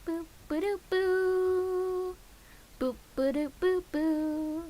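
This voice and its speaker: A woman's voice: extremely high pitch, steady tone, drawn-out words, mellow. A woman streaming a visual novel, reading it aloud with playful asides and bits of nonsense scatting. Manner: playful, scatting nonsense syllables and muttering to self. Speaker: game streamer.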